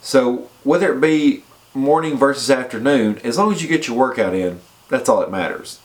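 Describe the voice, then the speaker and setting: A man's voice: mid-range voice, moderate pace, rough recording. A man with a head cold, sounding congested, giving casual fitness advice in a chatty way and often checking in with the listener. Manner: chatty, repeats points and checks in with "okay?". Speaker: fitness vlogger. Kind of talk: casual advice talk to camera.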